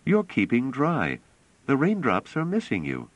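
A man voicing a calm young woman who explains patiently and earnestly. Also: mid-range voice, unhurried, no room sound, pitched above the performer's own voice. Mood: light, cheerful.

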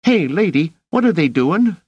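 Squeaky street urchin boy, acted by a man. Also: very animated, normal speed, husky, no echo, muffled recording. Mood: alarmed. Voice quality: squeaky, shrill. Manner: slangy street talk with dropped endings. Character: scrappy, loyal young street urchin boy, excitable and defiant.

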